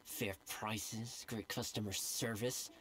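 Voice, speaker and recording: A man's voice: lowish voice, fairly even intonation, slow pace, low-quality recording. An actor playing a glib, placating male lackey in a video game. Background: music.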